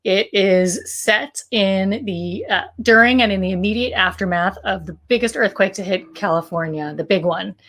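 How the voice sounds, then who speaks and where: A woman's voice: medium pitch, normal speed, few pauses, a bit bright. A middle-aged woman talking casually as a co-author, presenting a fiction podcast. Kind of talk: casual promotional talk about a story.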